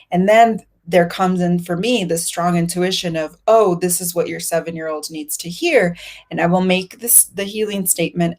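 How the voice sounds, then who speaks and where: Woman, Spanish first language, lowish voice, thoughtful, restarts mid-sentence, reflective chat. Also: a little mellow. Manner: thoughtful, searching phrasing with restarts. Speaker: facilitator. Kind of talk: reflective conversation. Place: Mexico.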